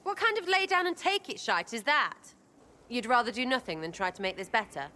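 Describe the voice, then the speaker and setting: A woman's voice: a little high, very animated, a little fast, pushed and bright, a little hiss or hum. An actress with an English accent playing a cheeky, blunt, hot-tempered young female rogue in a video game. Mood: indignant. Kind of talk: video game cutscene acting.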